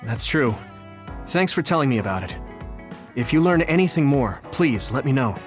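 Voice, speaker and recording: An actor playing an earnest, idealistic young platoon commander in a video game, eager and pleading in manner. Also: male voice, mid-range voice, very animated, normal speed, soft background music, lightly acted. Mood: grateful.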